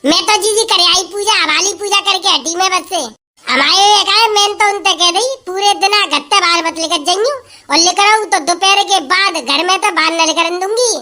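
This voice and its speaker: A woman's voice: very high-pitched, bright, forceful tone. A woman voicing a hungry, fed-up village wife who grumbles and scolds in a comic sketch. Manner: complaining, scolding, rustic chatter.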